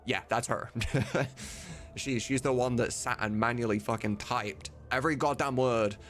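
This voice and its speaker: A man's voice: medium pitch, steady tone, normal speed, fairly bright tone, soft background music. A man chatting casually and informally as a livestream host, with some mild swearing. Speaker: livestreamer and host of a game voice-dubbing mod team.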